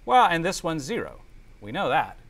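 A man's voice: fairly high pitch, wildly swooping pitch, normal speed, a bit bright. A man giving a classroom lecture as a teacher, explaining step by step and often checking understanding with short tag questions. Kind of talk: classroom lecture with spreadsheet demonstration. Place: indoor classroom.

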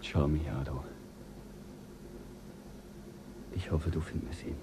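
An actor playing a skeptical, quietly ruthless male astronaut in a radio play, speaking low and measured. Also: steady tone, noisy background. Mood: cold.

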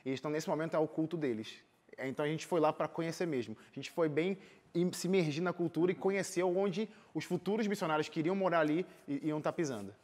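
A young Brazilian man speaks quickly in a slightly high voice. He tells his experiences in a casual, animated way, often restarting phrases as a studio interview guest. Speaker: interview guest, singer and missionary volunteer. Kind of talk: casual personal storytelling in an interview. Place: TV studio, seated.

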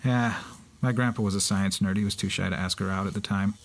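A man with a medium-pitched voice co-hosts a podcast. He moves between scripted explanation and banter with dry humour. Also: fairly even intonation, fast. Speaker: podcast co-host. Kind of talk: semi-scripted banter and exposition.

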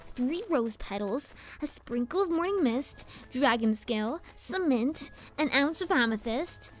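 A woman's voice: high voice, animated, measured pace, soft background music. A woman voicing a sassy, scheming young filly pony villain in a cartoon-style fan audio drama. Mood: scheming, smug. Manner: sassy, defensive.

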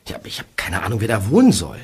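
A man's voice: on the low side, wildly swooping pitch, slight background noise. A man playing a worried young male detective in a radio play, who stammers when upset. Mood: worried, flustered. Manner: stammering, hurried. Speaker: teen detective.

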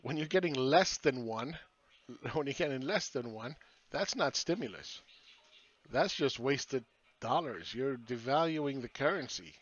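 A man's voice: mid-range voice, expressive, unhurried, highs cut off. A man hosting his own channel, ranting sarcastically over charts and firing rhetorical questions at critics.

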